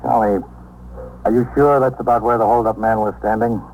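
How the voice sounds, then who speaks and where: An American actor playing a hard, skeptical detective lieutenant questioning in a probing, curt way in a 1950s radio drama. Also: male voice, fairly low pitch, steady tone, a little fast, a little mellow, lightly acted. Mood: stern, insistent. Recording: noisy old 1950s radio recording.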